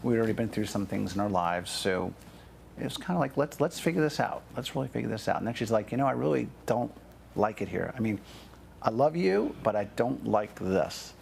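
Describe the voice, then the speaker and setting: A man's voice: lowish voice, expressive, a little fast, gravelly, a little mellow, slight background noise. A man telling stories as an interview guest, chatty and full of "you know" and "like". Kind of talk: casual storytelling.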